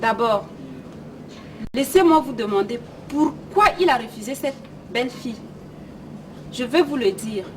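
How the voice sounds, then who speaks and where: High-voiced woman commenting, slightly off-mic. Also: a little mellow, far from the mic, slight background noise, rough recording. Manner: didactic, with rhetorical questions. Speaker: presenter of an educational film. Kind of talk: scripted commentary addressed to viewers.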